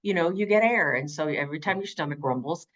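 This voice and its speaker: A woman's voice: low-pitched, lively intonation, quick pace, a bit bright, muffled recording. A middle-aged woman giving conversational, emphatic interview answers as an audiobook narrator.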